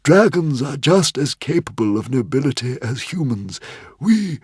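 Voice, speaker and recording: A man's voice: medium pitch, very animated, measured pace, darkish tone, husky, no room sound, clean studio sound, voice pushed up. A man voicing a little old male dragon in a storybook, fervent and idealistic, making emphatic declarations in a strained voice. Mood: ecstatic.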